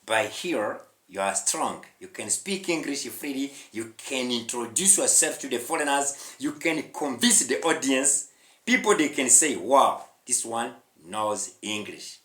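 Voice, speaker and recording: A man's voice: medium pitch, a bit bright. Echoey Rwandan man teaching English. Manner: emphatic, repetitive, with questioning interjections. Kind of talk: online language lesson, addressing viewers directly. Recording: echoey room.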